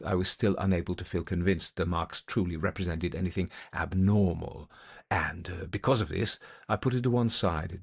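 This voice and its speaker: A man narrating a ghost story as a methodical gentleman investigator, speaking in a formal, deliberate, old-fashioned way. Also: low-pitched, creaky, slightly rough, full character voice. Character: male gentleman investigator recounting an eerie experience, methodical, intent.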